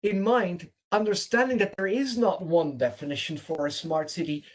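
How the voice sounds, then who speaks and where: A man's voice: sits high, moderate pace. Dutch-accented man giving a training talk. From Netherlands. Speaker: presenter and trainer. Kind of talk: online training presentation. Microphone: video call.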